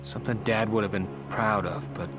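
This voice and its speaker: A man's voice: fairly low pitch, steady tone, brisk, close to an everyday voice. An actor playing a young male army captain, barking orders in combat and strained and bitter in a phone call home. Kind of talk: game cutscene acting. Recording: music-heavy game mix.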